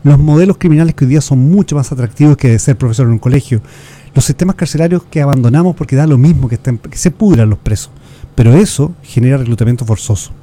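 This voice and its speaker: Chilean male guest expert, quick, dark-toned, earnest explainer. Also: mid-range voice. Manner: earnest, lecturing, restarts words, uses rhetorical questions. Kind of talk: explanatory interview talk.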